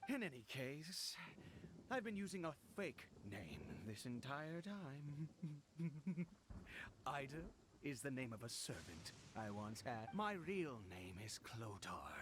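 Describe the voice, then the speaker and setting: An actor playing a deranged, fanatical noble cult founder in a video game, grandiose and gloating in manner. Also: male voice, medium pitch, very animated, takes it slowly, noisy background. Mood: gloating, confiding. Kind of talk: dramatic video game voice acting.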